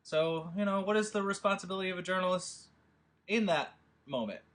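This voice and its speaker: A man's voice: high voice, brisk, a bit bright. A man hosting a remote table read, chatting loosely with lots of fillers and then reading stage directions over a video call. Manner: rambling, filler-heavy, offhand. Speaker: writer and host of the table read. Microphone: video call with desktop audio. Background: score music.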